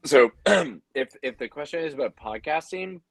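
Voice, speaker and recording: A man's voice: a little high, animated, moderate pace, no echo. A man giving casual, encouraging explanations as a panelist, in a conversational way. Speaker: podcaster and audio creator, panelist.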